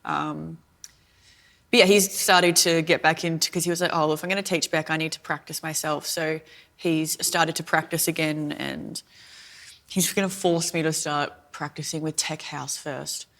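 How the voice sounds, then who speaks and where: A woman's voice: low voice, steady tone, moderate pace, gravelly, a bit bright. A woman in her early thirties co-hosting a podcast, chatting in a rambling, giggly way. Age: 30s. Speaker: podcast co-host. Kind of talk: casual chat.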